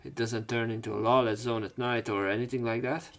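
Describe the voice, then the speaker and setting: A man with a gravelly, fry-laden voice reads game text aloud, then breaks off into joking asides. His pitch sits in the middle, and he talks in a loose, casual way with fillers. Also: flat delivery, brisk. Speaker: let's play host.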